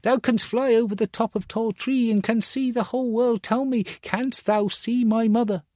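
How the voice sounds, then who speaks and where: A man's voice: very high-pitched, steady tone, moderate pace, darkish tone, dry recording, muffled recording, pitched above the performer's own voice. A man doing a haughty fairy-tale boy. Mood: pleading. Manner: haughty, indignant. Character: proud cruel boy turned penitent.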